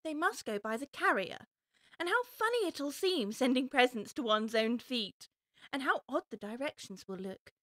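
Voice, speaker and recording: Casting: young storybook girl, high and very animated, earnest, puzzled, prone to fretting. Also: moderate pace, no echo, clean studio sound. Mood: whimsical musing. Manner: earnest self-questioning, talks to herself aloud. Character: young girl Alice, polite, puzzled, easily upset. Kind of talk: full-cast audiobook storybook acting.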